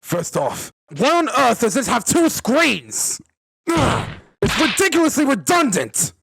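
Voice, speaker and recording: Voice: high-pitched, huge pitch swings, unhurried, gravelly, fairly bright tone, husky, no room sound, exaggerated voice, low-quality recording. Haughty, easily flustered prosecutor acted by one fan dubber. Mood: indignant. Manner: pompous, stammers when outraged. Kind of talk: fan dub courtroom comedy, dramatic video game acting.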